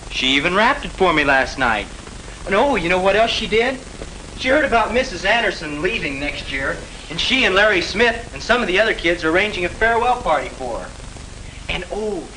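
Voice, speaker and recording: Bright, lively 1950s high school boy. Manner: casual, chatty, old-fashioned slang. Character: breezy, good-natured high school boy. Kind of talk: 1950s educational film acting. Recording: noisy 1950s film soundtrack.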